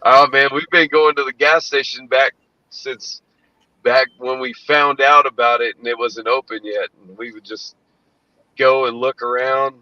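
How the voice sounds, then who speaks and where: A man's voice: medium pitch, fairly even intonation, a little slow, pushed and bright, dry recording. A man chatting casually and rambling, with plenty of swearing, over an online call. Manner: profane, rambling. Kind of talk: casual chat. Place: indoors, online video call. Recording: slightly dull lo-fi audio.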